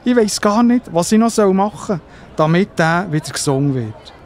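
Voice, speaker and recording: A man's voice: high voice, expressive, normal speed, a little mellow. A man playing a humble, worried Roman centurion in a children's audio play. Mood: anxious pleading. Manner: earnest, pleading.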